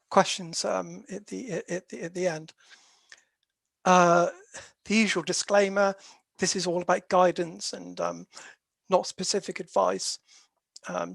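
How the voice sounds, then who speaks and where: A dispute resolution specialist giving a professional webinar talk in a croaky voice, hesitant and filling pauses with many "um"s as they explain things steadily. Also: mid-range voice, dry recording. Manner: hesitant, with very frequent "um" fillers; explanatory, steady lecturing delivery.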